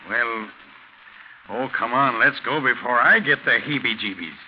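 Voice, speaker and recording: An actor playing a gruff, matter-of-fact American police sergeant in a brisk 1940s radio style. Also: male voice, medium pitch, over music, close to an everyday voice. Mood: brisk, uneasy. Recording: muffled, hissy 1940s radio recording.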